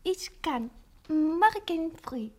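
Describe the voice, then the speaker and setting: An innocent, eager young Japanese waitress in an old radio drama. The voice is girlish and extremely high. She speaks very slowly in halting, simple phrases with a Japanese accent. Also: sing-song, heavily acted. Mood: earnest, curious. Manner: halting, simple broken German in short phrases. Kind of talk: 1960 German radio play acting.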